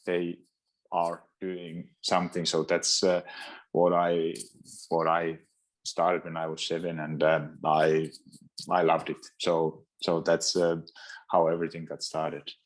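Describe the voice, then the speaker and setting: A Finnish man speaking English as a second language, a fitness trainer answering interview questions in a halting way, with frequent restarts. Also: low voice, completely flat delivery, low-quality recording. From Finland. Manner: halting, searching for words, many restarts. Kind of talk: casual interview talk.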